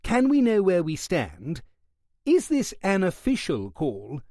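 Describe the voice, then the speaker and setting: A man's voice: high voice, huge pitch swings, takes it slowly, dark tone, dry recording, clean studio sound, pitched above the performer's own voice, exaggerated voice. Narrator as an irritable male guest: insistent, slightly raised. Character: bruised, touchy male party guest.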